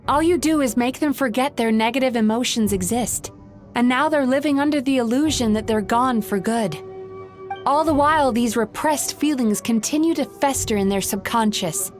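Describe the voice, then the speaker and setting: An actress voicing a gentle but resolute young heroine in a video game, earnest, composed and articulate. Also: female voice, a little high, normal speed, soft background music, lightly acted. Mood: firm, reproachful. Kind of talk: scripted video game voice acting.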